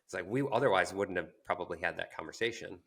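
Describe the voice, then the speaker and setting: Very deep-voiced man, halting and reflective. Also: vocal fry, a bit bright, husky. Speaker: podcast co-host. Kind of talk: casual reflective conversation.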